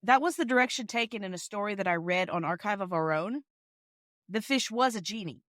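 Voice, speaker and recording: A woman's voice: mid-range voice, lively intonation, a little fast, fairly bright tone, no room sound, clean studio sound. A woman podcast panelist giving her take in a casual discussion.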